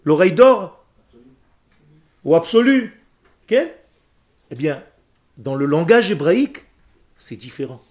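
A man's voice: fairly high pitch, slow pace, long pauses. Emphatic man teaching a group. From Israel. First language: Hebrew. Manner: emphatic, animated and lecturing. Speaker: religious teacher. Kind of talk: lecture to a group with questions.

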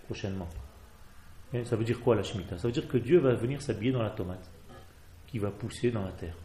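A man's voice: fairly low pitch, fairly quick, mellow, echoey room, a little hiss or hum. Fervent Israeli-accented man teaching Torah. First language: Hebrew. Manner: fervent, exhorting, mixes Hebrew terms. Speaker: rabbi. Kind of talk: Torah class.

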